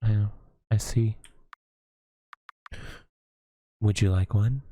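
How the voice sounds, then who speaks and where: A man voicing a lonely, motherly witch woman who lives alone in the woods, speaking gently and hesitantly with sighs. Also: lowish voice, steady tone, measured pace, slight background noise, natural voice. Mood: gentle. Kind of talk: audio roleplay acting.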